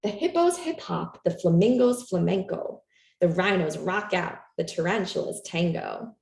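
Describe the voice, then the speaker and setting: A woman reading a children's picture book aloud in an expressive, animated storytelling manner for young listeners. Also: lowish voice, a little slow, creaky. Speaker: storytime reader. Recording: roomy, echoey room sound.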